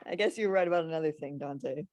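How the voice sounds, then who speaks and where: A woman's voice: sits low, fast talker, a little mellow, no room sound, natural voice. A woman reading a curious, teasing teenage boy in screenplay table read dialogue, questioning and lightly incredulous. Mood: amused, conceding.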